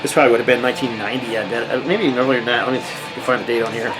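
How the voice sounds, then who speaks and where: A man's voice: mid-range voice, racing, poor audio. Rambling American man over thrash music. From United States. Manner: rambling, relaxed, many fillers and restarts. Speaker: metal record collector vlogger. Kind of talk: casual record show-and-tell.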